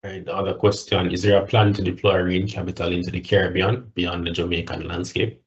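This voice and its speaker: A man's voice: low-pitched, little pitch movement, a little fast, dull audio. A man acting as moderator on an earnings call, reading out questions in turn.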